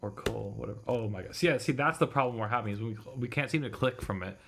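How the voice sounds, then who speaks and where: A man's voice: medium pitch, fast talker, vocal fry, fairly bright tone, a little hiss or hum. A man doing casual livestream commentary on a visual novel, thinking aloud in a muttering way and swearing casually. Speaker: streamer reading a visual novel.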